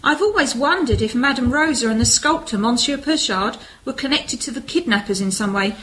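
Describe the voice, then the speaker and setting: High-voiced, polite married woman played by an actress. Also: animated, fairly quick, a bit bright, poor audio. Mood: concerned, inquisitive. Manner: hesitant, courteous. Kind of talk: radio play acting. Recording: clear background noise.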